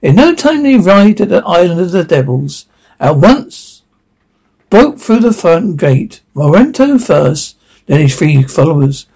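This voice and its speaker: A man reading a fairy tale aloud in a halting way, sometimes stumbling and correcting himself. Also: high voice, very animated, a little mellow, slightly rough. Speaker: story reader.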